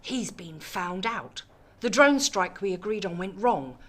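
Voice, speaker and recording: Woman playing cool, ambitious politician, mid-pitched. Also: expressive, unhurried. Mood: cool, measured. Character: cool, ambitious, calculating female government politician. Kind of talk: radio drama acting.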